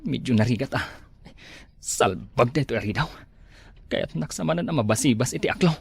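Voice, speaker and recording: A man's voice: medium pitch, expressive, fairly quick, creaky, breathy edge, no echo. A man acting a henpecked, whining village husband in an Ilocano comedy drama, with an Ilocano accent. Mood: sneaky, muttering.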